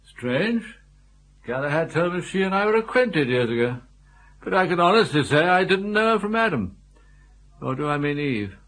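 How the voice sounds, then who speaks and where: An amiably muddled elderly lord from a radio comedy, with an upper-class English accent. His voice sits high for a man and moves about freely as he dithers and repeats himself. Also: very animated, moderate pace, a bit bright. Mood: absent-minded, bewildered. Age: older. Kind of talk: BBC radio comedy drama acting.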